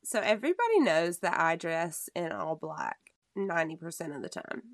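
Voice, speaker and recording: A woman co-hosting a casual podcast, chatty and quick to laugh. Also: fairly low pitch, very animated, dry recording, studio quality. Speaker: podcast co-host.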